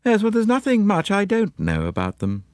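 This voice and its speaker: A man doing a grim, brisk middle-aged secretary. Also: sits high, sing-song, a little mellow, no room sound, studio quality, voice pushed up. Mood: matter-of-fact. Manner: matter-of-fact, grim.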